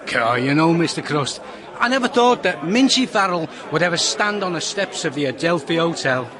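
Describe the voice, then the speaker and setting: An actor playing a chatty, excitable small-time chancer in a radio drama, with a Liverpool accent and a rambling, matey manner. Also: male voice, fairly high pitch, normal speed, natural voice. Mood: chatty, scheming. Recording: busy outdoor crowd and traffic behind. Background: street crowd, vehicles.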